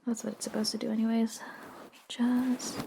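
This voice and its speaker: A woman playing a friendly, reluctant modern witch in a soft-spoken, gently informal ASMR roleplay that slips into whispers. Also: a little high, flat delivery, a little fast, gravelly, a little hiss or hum. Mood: soft, soothing.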